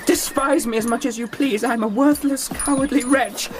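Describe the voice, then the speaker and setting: A woman's voice: fairly high pitch, fairly even intonation, normal speed, background noise, close to an everyday voice. A performer playing a sickly, cowardly young man who stammers and pleads in fear. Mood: pleading. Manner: stammering, whining, fearful. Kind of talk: radio drama acting.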